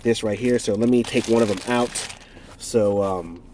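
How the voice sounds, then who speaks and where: A man's voice: lowish voice, fairly even intonation, normal speed, darkish tone, a little hiss or hum. Congested man rambling through a gadget review. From United States. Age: 40s. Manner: rambling, self-correcting, jokey asides. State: stuffed-up nose from a cold. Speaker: product reviewer. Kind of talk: casual unboxing review.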